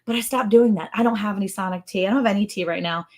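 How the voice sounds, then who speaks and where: A woman's voice: medium pitch, rapid-fire, darkish tone. A woman in her forties co-hosting a casual livestream from home, chatty and teasing, greeting viewers by name. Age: 40s. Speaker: co-host of a ranch livestream.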